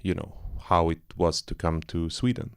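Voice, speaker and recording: A man's voice: low voice, moderate pace, clear, resonant tone. A man from Iran, a film director, talking loosely in a post-screening Q&A, searching for words with many fillers and restarts. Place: cinema auditorium.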